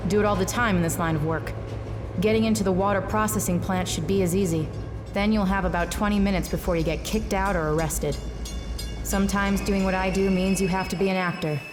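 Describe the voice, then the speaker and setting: An actress playing a hard-drinking, wry female private eye, world-weary and deadpan, in a noir audio drama. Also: fairly low pitch, close to an everyday voice. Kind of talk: noir first-person narration and drama.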